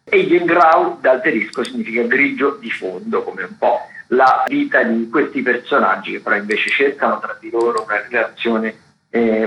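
A man chats through theatre listings on the radio in a roomy, slightly muffled sound. His pitch is average for a man, and he wanders off, hesitates and corrects himself in a casual way. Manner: chatty, digressive, hesitant with self-corrections. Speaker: theatre critic and guest contributor.

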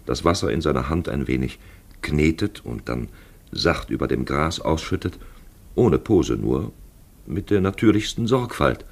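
A man narrating a literary radio play as a grave storyteller, expressive and deliberate in his phrasing. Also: rumbling low, gravelly, breathy edge, exaggerated voice. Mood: grave, intent. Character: grave male storyteller voicing a traveller's thoughts.

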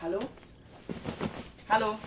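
A man's voice: slow, lightly acted. A male actor playing a blunt, bitter, estranged man in a German radio drama, curt and controlled. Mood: curt, subdued. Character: bitter, blunt man, estranged old friend grieving his brother. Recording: clear ambient noise behind voice.

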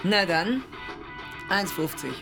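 An actress playing a no-nonsense shopkeeper in a naturalistic radio drama. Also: female voice, medium pitch, expressive, slow, loud music behind the voice. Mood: dry, casual. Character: no-nonsense shopkeeper calming a troublemaker.

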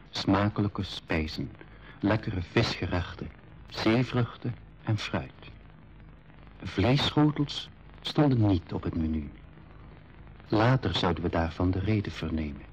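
An actor narrating his own adventure in a school radio drama, with a measured storytelling delivery. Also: fairly low pitch, darkish tone, a little hiss or hum, close to an everyday voice, poor audio. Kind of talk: scripted first-person radio drama narration.

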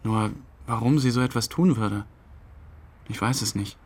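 A man's voice: fairly low pitch, a little slow, husky. An actor playing a distraught, brooding husband telling his story in an interview-like radio drama. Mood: bitter, brooding.

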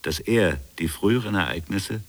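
An actor playing a grave colonial official, recounting a buried crime in a measured, solemn literary radio drama monologue. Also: male voice, low voice, expressive. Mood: grave, reflective.

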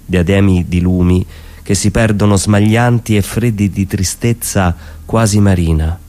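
A man giving a dramatic recitation of poetry, with measured literary phrasing and pauses between phrases. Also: low voice, fairly even intonation, dark tone.

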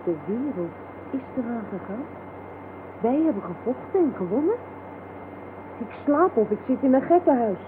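A noisy, band-limited radio play recording of an actress playing a captive woman. She has a fairly high voice and speaks bluntly, frightened yet defiant. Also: lively intonation, moderate pace, pauses often, phone-quality sound, close to an everyday voice. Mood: bewildered, wary. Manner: blunt, informal, challenging.

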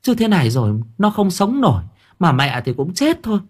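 A man's voice: mid-range voice, very animated, measured pace, a little mellow. A man voicing a worried older mother-in-law who scolds and coaxes. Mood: alarmed. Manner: scolding, fussing, coaxing.

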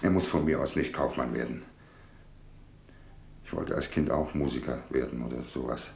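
A man's voice: rumbling low, normal speed, vocal fry, a little mellow, a little hiss or hum. Anxious, tender father in echoey room. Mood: pensive, regretful. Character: anxious, tender middle-aged father awaiting his missing son. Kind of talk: German radio play acting.

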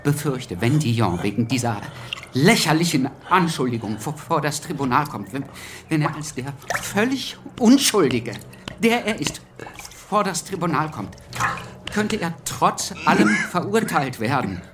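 An actor playing an agitated young revolutionary journalist in a radio drama, stammering and urgent. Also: male voice, on the high side, very animated, measured pace, background noise. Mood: anxious, arguing.

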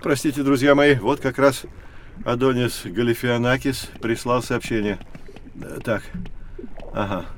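A man's voice: medium pitch, fairly even intonation, a little slow, long pauses, a little hiss or hum, lightly acted. A man playing a kindly, knowledgeable professor, patiently explaining things in a children's educational audio drama. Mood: cheerful. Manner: patient, didactic.

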